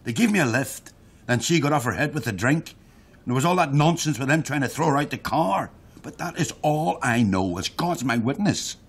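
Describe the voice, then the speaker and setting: Rough, husky, defensive suspect. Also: male voice, mid-range voice, expressive, moderate pace. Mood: defensive, indignant. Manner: defensive, agitated. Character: agitated man protesting his innocence to police. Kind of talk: naturalistic radio drama acting.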